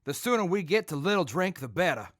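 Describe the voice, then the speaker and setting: An actress playing a practical, weary leader of wasteland travelers, with a sensible, folksy manner, in an audio drama. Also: female voice, fairly low pitch, very animated, quick pace, no echo, studio quality. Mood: urging, weary. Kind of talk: post-apocalyptic audio drama acting.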